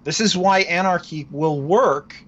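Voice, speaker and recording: A man's voice: sits high, a little slow, a crowd in the background. A man giving casual political commentary in an explanatory, emphatic way, heard over a dull, lo-fi connection. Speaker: talk show participant discussing politics.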